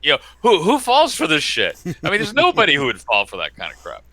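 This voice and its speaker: A man's voice: fairly high pitch, huge pitch swings, fast, bright, forceful tone, no echo. A man co-hosting a podcast, stammering and incredulous in casual chat. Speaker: podcast co-host.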